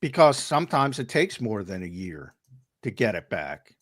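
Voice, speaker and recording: A man on a sports talk show, his voice a little hoarse and worn. He argues with emphasis and often stumbles into a point by repeating its first words. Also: on the low side, big pitch swings, normal speed. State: losing his voice. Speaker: co-host and analyst.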